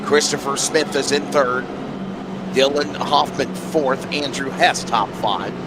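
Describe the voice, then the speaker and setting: A man doing play-by-play for an online race broadcast, his voice hoarse and raspy, rattling off the running order. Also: mid-range voice. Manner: rapid rundown of race order. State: hoarse from allergies. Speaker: play-by-play commentator. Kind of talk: sim racing broadcast commentary. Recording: noisy track sound behind.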